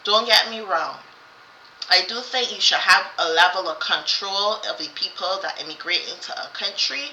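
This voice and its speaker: Chatty woman, bright voice, roomy sound. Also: mid-range voice, normal speed, slight background noise. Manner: rambling and repetitive, with many fillers and restarts. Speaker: co-host. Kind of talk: casual chat. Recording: roomy, slightly dull sound.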